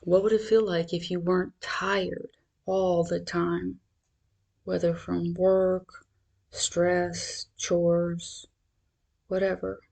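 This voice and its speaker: A meditation guide speaking in a measured, reassuring, instructional manner. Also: medium pitch, flat delivery, gravelly, darkish tone.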